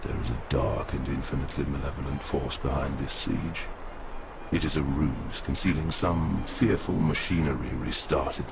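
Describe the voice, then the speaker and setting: An immensely deep-voiced old woodland creature-king speaks with proud, mournful gravity. His delivery is poetic and doom-laden, in video game cutscene style, over clearly audible background ambience. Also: moderate pace, background noise. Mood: ominous, solemn. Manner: solemn, grandiose, poetic doom-laden pronouncements. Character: melancholy, proud, ancient stag-headed forest king.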